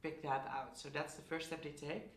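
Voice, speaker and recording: A man's voice: on the high side, level tone, normal speed, fairly bright tone, reverberant, distant mic. A man in his twenties answering interview questions in a casual, thoughtful way, often searching for words. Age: 20s. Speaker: interview guest.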